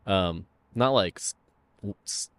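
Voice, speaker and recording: A man's voice: sits low, slow pace, clear, resonant tone. A man in his twenties co-hosting a podcast, chatting in an easygoing way with a lot of "like". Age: 20s. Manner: chatty, easygoing, many fillers. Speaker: podcast co-host. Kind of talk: casual chat.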